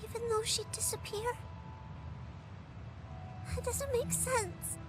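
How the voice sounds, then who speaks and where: A woman's voice: extremely high pitch, steady tone, takes it slowly, music in the background. A woman voicing a bratty, hurt little girl in an anime game, blunt and accusing. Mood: upset, accusing.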